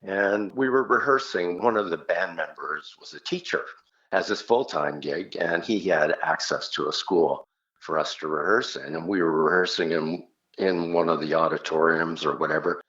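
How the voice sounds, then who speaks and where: A man's voice: deep voice, moderate pace, fairly bright tone. A man reminiscing in casual conversation as an interview guest, telling stories and acting out short quotes, on a dull, muffled recording. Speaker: interview guest, rock singer.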